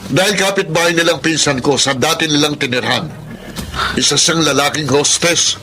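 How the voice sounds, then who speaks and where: An actor playing a possessive, jealous suitor with a taunting, insistent delivery in a Tagalog radio drama. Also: male voice, a little high, moderate pace, bright, forceful tone. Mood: taunting, aggressive. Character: possessive, jealous, mocking male suitor who bullies and pleads.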